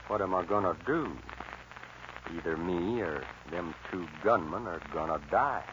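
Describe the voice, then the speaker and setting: An actor playing a cool, threatening young outlaw with a Western drawl, terse and deliberate, in 1940s radio style. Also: male voice, deep voice. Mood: cold resolve. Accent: American Western drawl. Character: cool, menacing young male outlaw gunfighter. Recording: muffled, noisy old radio recording.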